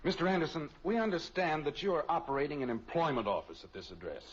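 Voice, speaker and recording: A man's voice: a little high, lively intonation, fast, slightly rough. A man playing a courteous, apologetic, by-the-book police officer in 1950s radio comedy style, formal and placating. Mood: polite. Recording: muffled old radio recording, faint hiss.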